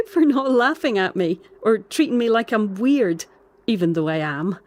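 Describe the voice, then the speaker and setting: A woman acting in an audio drama as a troubled, sensitive island woman, earnest and expressive, with a Scottish accent. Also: fairly high pitch, a little slow, no echo. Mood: confiding, fragile.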